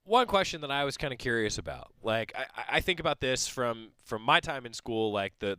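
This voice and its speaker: A man's voice: fairly low pitch, clear, resonant tone, no room sound. A man of thirty hosting a radio sports show, chatting casually with a student guest and asking follow-up questions. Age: 30s. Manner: lively, chatty, friendly interviewer with frequent affirmations. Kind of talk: casual interview chat. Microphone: studio mic.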